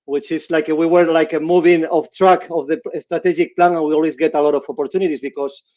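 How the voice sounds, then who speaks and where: A man's voice: fairly high pitch, flat delivery, fast, a little mellow, muffled recording. A Spanish man in his fifties, speaking English with a Spanish accent as a panelist, hesitant and often ending sentences with "no?". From Spain. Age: 50s. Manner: hesitant, repeats words, tags sentences with "no?".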